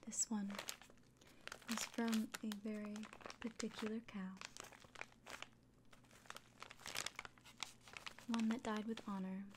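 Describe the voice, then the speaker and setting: A woman playing a friendly fantasy innkeeper in a soft, breathy, often whispered ASMR roleplay, speaking gently and without hurry. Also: medium pitch, flat delivery, noisy background, close to an everyday voice. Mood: careful, earnest. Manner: gentle, unhurried, caring. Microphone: close microphone.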